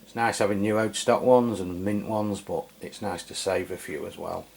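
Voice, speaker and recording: A man's voice: low-pitched, normal speed, vocal fry, a little mellow, breathy edge. A man giving a casual hands-on review as host, talking in a hesitant, rambling, matter-of-fact way.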